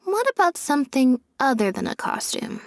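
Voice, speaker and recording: An actress playing an affable, showy young stage magician in a video game, with a light, playful manner. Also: female voice, sits high, big pitch swings, normal speed, dry recording, clean studio sound.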